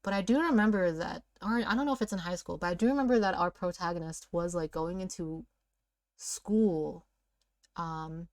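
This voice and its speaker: A woman's voice: on the low side, normal speed, a little mellow, dry recording, clean studio sound. A playful, giggly woman co-hosting a read-aloud, narrating a story and bantering casually. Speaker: co-host of a read-aloud series.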